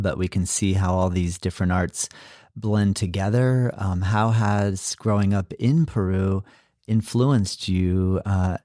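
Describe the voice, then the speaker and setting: A man with a fairly low voice hosts a podcast, gushing with enthusiasm and peppering his talk with filler words. His voice sits dry and close, with no room around it. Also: mellow, no room sound. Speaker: podcast host. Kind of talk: podcast interview.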